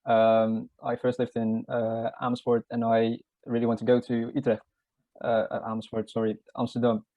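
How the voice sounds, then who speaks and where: A man interviewing a job applicant in an easygoing, informal way, scattering "uh"s and "um"s through his questions. His voice is mellow and dark with little pitch movement. The sound is dry and a bit dull, with no room around it. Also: moderate pace, dry recording. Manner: relaxed and informal, with fillers. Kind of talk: casual mock job interview.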